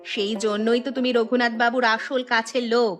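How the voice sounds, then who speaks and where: A woman's voice: on the high side, flat delivery, moderate pace, soft background music, close to an everyday voice. A woman playing a probing, knowing village companion in a melodramatic drama. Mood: sceptical.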